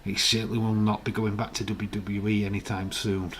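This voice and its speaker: A man with a croaky, hoarse voice, hosting a casual live stream, chatting in a rambling way and reading out viewer comments. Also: lowish voice, flat delivery, fairly quick, a bit bright.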